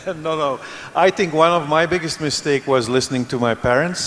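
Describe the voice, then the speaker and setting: A man's voice: fairly high pitch, moderate pace, a bit bright. A man from Belgium speaking on a conference panel, telling a long, rambling anecdote. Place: large conference hall. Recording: reverberant conference hall.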